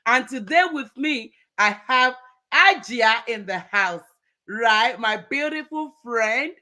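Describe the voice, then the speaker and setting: A woman hosting a live motivational talk show, energetic and upbeat, often ending phrases with "right?". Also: fairly high pitch, slow pace. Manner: energetic, repeats words, ends phrases with "right?". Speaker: host.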